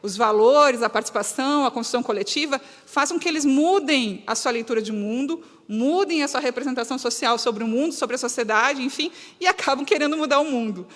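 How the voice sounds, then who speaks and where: High-pitched gaúcha woman speaking in a hall. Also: a little fast, hardly any pauses. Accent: gaúcho southern Brazilian. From Rio Grande do Sul, Brazil. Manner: earnest, emphatic, often breaking off and restating. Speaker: guest speaker. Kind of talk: public talk, semi-spontaneous lecture. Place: reverberant hall, live talk to an audience. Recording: echoey hall with long reverb.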